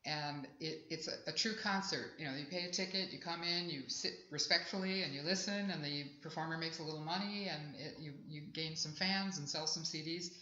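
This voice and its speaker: A woman's voice: low voice, brisk, few pauses. A woman in her sixties, a singer-songwriter, talking in a chatty, reflective way in an interview at a festival. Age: 60s. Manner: chatty, warm and reflective, rambling. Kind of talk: casual interview at an outdoor festival. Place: festival grounds. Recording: echoey, dull live field recording.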